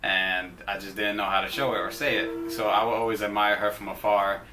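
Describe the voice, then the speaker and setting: A young man co-hosting a couple's vlog, telling the story in a relaxed, halting way full of "you know" and "uh". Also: fairly low pitch, over music, rough recording. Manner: laid-back, hesitant, lots of "you know" and "uh". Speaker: vlog co-host. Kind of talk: casual storytime chat.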